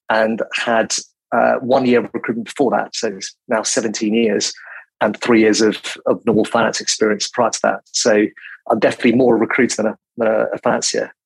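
A man in his forties, a recruitment business leader talking as a podcast guest in a quiet, calm, measured way with frequent restarts. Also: mid-range voice, vocal fry, slightly rough, no echo, low-quality recording. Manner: quiet, calm, many restarts and repeated words. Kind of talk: measured business interview talk.

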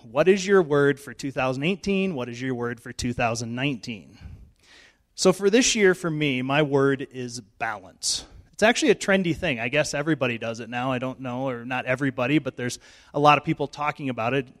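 A middle-aged male pastor, hoarse and losing his voice, giving a chatty, self-joking sermon in church on a handheld mic. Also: mid-range voice, brisk. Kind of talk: informal sermon. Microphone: handheld microphone.

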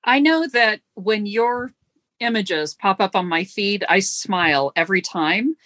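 A woman host speaking thoughtfully, with halting starts and gentle self-deprecation, in a conversational interview. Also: medium pitch, no echo.

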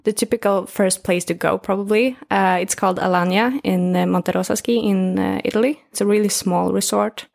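A woman in her twenties from northern Sweden, speaking English with a Swedish accent in a relaxed, chatty interview. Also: mid-range voice, brisk, creaky, hardly any pauses. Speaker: guest. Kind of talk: casual interview talk.

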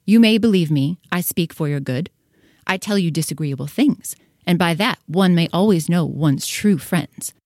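A woman's voice: lowish voice, moderate pace, a little mellow, dry recording, clean studio sound. Bossy, scolding mother hen played by a woman. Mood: scolding, self-righteous. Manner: bossy, preachy, self-righteous. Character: conceited, scolding hen lecturing a duckling. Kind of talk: full-cast storybook dramatization.

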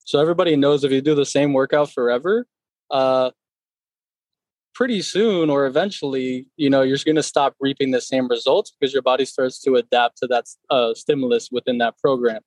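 A man in his thirties from Connecticut, a personal trainer talking casually in an interview, relaxed with many fillers. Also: medium pitch, a little fast, no room sound, low-quality recording. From Connecticut, USA. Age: 30s.

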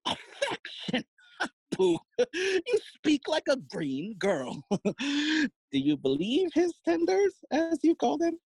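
Husky, long-winded old royal counsellor played by a woman, classical audio drama, very slow and halting, close dry sound. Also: high voice, wildly swooping pitch, darkish tone, dry recording, heavily acted. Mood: probing, mocking. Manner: theatrical, halting, chuckling and humming between phrases.

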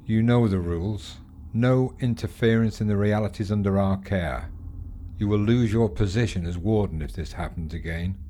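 A man's voice: lowish voice, normal speed, dark tone, a little hiss or hum, close to an everyday voice. A man playing a stern, commanding director in a fiction podcast, curt, formal and authoritative. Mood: stern, reprimanding. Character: stern male director, commanding superior of alien wardens.

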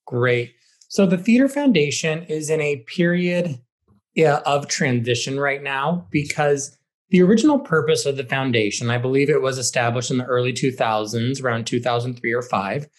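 A man's voice: mid-range voice, normal speed. A man, a theater director, giving conversational explanatory answers as an interview guest. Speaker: high school theater director, podcast guest.

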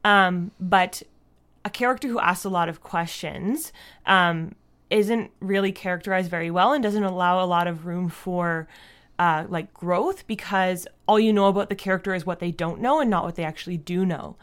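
A woman answering interview questions as a guest in a casual, thoughtful and halting way, often saying "like". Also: lowish voice. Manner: thoughtful, halting, frequent "like" as a verbal habit. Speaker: podcast creator and writer, interview guest.

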